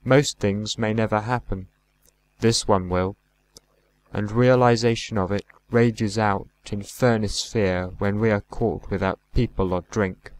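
Male poetry reader, lower-pitched, very slow and deliberate, phrasing in short measured units. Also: fairly even intonation, poor audio. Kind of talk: poetry recitation.

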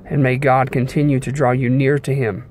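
A young man giving an informal devotional sermon in an earnest, measured way, pausing between short phrases. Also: mid-range voice, level tone, darkish tone. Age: young adult. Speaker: preacher giving a devotional message. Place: inside a car. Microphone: phone at arm's length. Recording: clear background noise inside a car.

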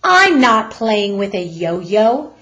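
A woman reader plays a protective storybook mother. She is firm and quick to scold, fussing over her child with slow, emphatic words. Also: medium pitch, very animated, fairly bright tone. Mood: sharp, indignant. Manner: firm, indignant or anxious. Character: concerned, sharp-tongued storybook mother.

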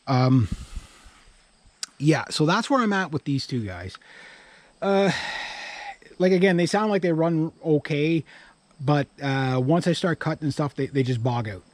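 A man's voice: on the high side, animated, a little slow, long pauses, no room sound. A middle-aged Canadian man chatting casually for a vlog outdoors, rambling and thinking aloud. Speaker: vlogger. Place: outdoors in a grassy yard by a pickup truck. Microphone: action camera worn on body or held at arm's length.

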